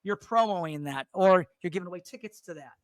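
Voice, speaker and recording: A man with a notably high voice talks like the seasoned radio host he is, rambling through stories with quick restarts. The sound is dry and close, with no room around it. He is middle-aged and relaxed in conversation. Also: no echo. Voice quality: higher voice. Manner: chatty, storytelling, frequent restarts. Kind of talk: casual chat.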